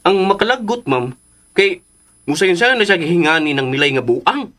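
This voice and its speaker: A man's voice: high-pitched, lively intonation, moderate pace, bright, forceful tone. A man acting in a Cebuano radio drama from the Philippines as a grumbling, gossipy underling, whiny and exaggerated. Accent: Filipino, Cebuano. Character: grumbling, gossipy male underling reporting to his madam.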